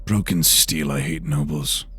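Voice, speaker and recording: A man's voice: rumbling low, unhurried, fairly bright tone, husky, no echo, pitched below the performer's own voice, exaggerated voice. A man playing a brusque investigator of magical murders in a fantasy audio drama, blunt and demanding. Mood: irritated, demanding.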